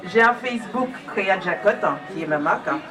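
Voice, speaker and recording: A woman's voice: mid-range voice, expressive, a little fast. Middle-aged woman from martinique, chatty, laughing, distant mic, crowd noise. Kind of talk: exhibitor introduction.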